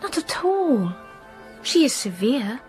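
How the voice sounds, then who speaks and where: An actress voicing a patient, pious young schoolgirl in a radio drama, calm and gentle. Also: sits high, takes it slowly, faint music behind, heavily acted. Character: patient pious young girl pupil Helen.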